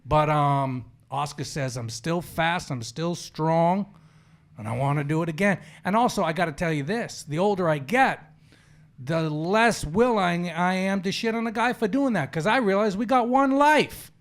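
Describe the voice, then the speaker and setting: A man's voice: sits high, normal speed. A man in his forties hosting a sports podcast, chatting casually in an opinionated, slangy, storytelling way. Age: 40s. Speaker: podcast host.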